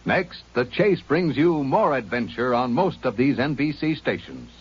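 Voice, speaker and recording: Husky 1950s network announcer man. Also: mid-range voice, very animated, unhurried. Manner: smooth, persuasive promotional delivery. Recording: muffled old radio transcription.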